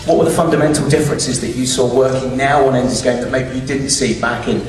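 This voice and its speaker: A man's voice: mid-range voice, fairly even intonation, fast, a little mellow. A man hosting a live question and answer event in a large cinema, heard over an audience. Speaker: event host introducing guests and putting questions. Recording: very echoey cinema, distant mic, crowd noise. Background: audience noise.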